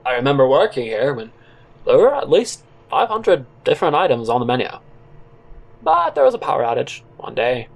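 Halting deadpan disgruntled ex-worker played by a man. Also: medium pitch, vocal fry. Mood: earnest, aggrieved. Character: disgruntled, rambling former fast-food worker, absurdly earnest. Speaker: narrator and character in a solo audio drama. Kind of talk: conversational audio drama monologue.

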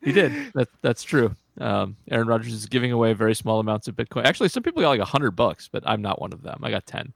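A man's voice: mid-range voice, very animated, fast, vocal fry, hardly any pauses, dry recording. A man co-hosting a casual sports podcast, speaking in a dry, wry, grumbling way. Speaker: podcast co-host.

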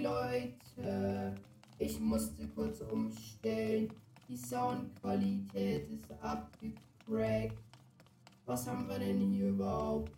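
A woman's voice: fairly high pitch, recorded from a distance. A woman streamer chatting casually over gameplay, using a voice changer. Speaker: gaming streamer. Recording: echoey, off-mic sound, faint music behind.

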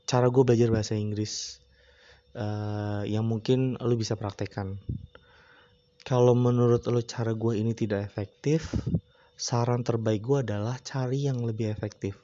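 A man hosting a casual solo podcast, talking in a relaxed, rambling, slangy way with frequent hesitations. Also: on the low side, muffled recording. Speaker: podcast host.

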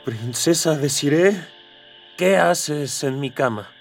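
A man's voice: fairly high pitch, expressive, slow, creaky, soft background music, exaggerated voice. A man playing a streetwise, wary Mexican space adventurer in a radio drama, speaking in colloquial mutters. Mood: nervous, flustered.

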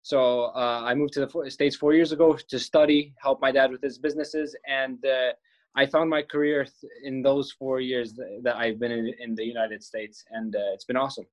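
A man's voice: medium pitch, fairly even intonation, moderate pace, poor audio. A young man from Palestine, a filmmaker guest on a podcast, telling his story earnestly and often restarting his words.

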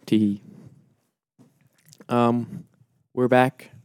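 A man co-hosting a casual podcast, soft-spoken and a bit mumbly, with a laid-back delivery. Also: medium pitch, flat delivery, extremely slow, smooth, no room sound, clean studio sound. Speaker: podcast co-host. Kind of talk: casual chat.

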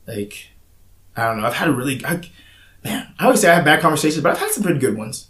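A man's voice: a little high, big pitch swings, fast talker, fairly bright tone. A young man hosting a podcast, speaking candidly in a casual, rambling way with frequent restarts and fillers. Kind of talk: casual conversation. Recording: roomy echoey room.

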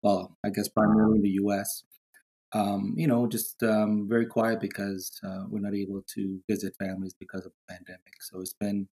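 A middle-aged man from Trinidad, a podcast guest chatting warmly and pausing often with fillers. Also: fairly low pitch, flat delivery, husky, rough recording. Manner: warm, talks with many fillers and pauses. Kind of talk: casual interview chat.

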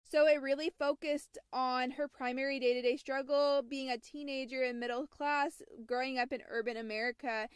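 A woman's voice: sits high, clear, resonant tone, no room sound, clean studio sound. A woman hosting a podcast, reading a scripted, earnest introduction. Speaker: podcast host.

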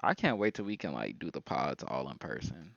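A man's voice: rumbling low, big pitch swings, fairly quick, creaky, fairly bright tone, no echo, muffled recording. A man in his mid twenties chatting casually as a podcast co-host, with a slangy, informal way of talking. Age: mid 20s. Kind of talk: casual group chat.

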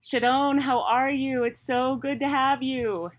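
A woman's voice: high-pitched, fairly even intonation, measured pace. Easygoing woman hosting an interview. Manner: friendly and easygoing, with some fillers. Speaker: podcast host and interviewer.

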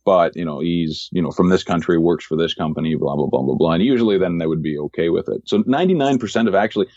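A man with a very low voice tells stories of his real estate work as a podcast guest. He speaks in a measured way, often repeating words while he finds his phrasing. The sound is dry and a little dull. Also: a little mellow, no echo. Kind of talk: casual interview storytelling.

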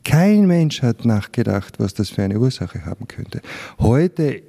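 An older man with a Carinthian Austrian accent speaks in a very dark, mellow voice. He gives candid, reflective personal testimony in an interview, with emphatic stresses. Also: mid-range voice, big pitch swings, a little slow, vocal fry, slightly rough. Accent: Austrian German (Carinthian). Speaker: interviewee, physician.

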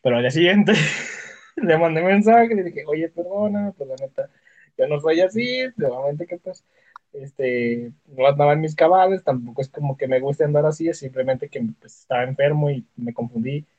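Young Mexican man, high-pitched, slangy. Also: moderate pace, darkish tone. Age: young adult. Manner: slangy, swears casually. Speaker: podcast co-host. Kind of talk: casual podcast chat, personal storytelling. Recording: dull lo-fi voice audio.

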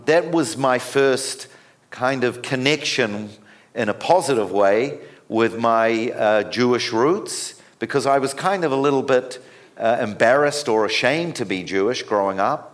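A man in his fifties with a New Zealand accent, giving a sermon as a guest speaker and often stopping on fillers and restarts. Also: mid-range voice. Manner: halting, with many fillers and restarts.

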